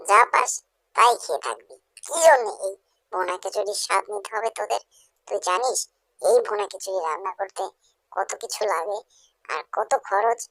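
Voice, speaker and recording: A woman's voice: medium pitch, gravelly, fairly bright tone, no room sound, low-quality recording. A woman voicing a snobbish village youngster in a cartoon story, taunting and haughty in manner. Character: snobbish, mocking village youngster scorning the poor. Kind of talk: Bengali cartoon story dubbing.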